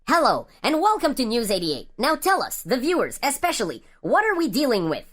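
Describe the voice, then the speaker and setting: A woman's voice: a little high, wildly swooping pitch, brisk, fairly bright tone, full character voice. A woman playing a blunt male news anchor in an amateur sci-fi radio play, speaking like a presenter. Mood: presenterly.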